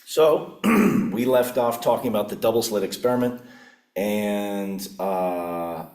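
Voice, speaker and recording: Middle-aged male lecturer, husky and croaky, lowish voice, roomy classroom sound picked up from a distance. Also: darkish tone. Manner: unhurried, pausing with fillers. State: croaky, clearing his throat often. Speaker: physics lecturer. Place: classroom at a whiteboard. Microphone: room camera at a distance. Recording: echoey classroom from far off.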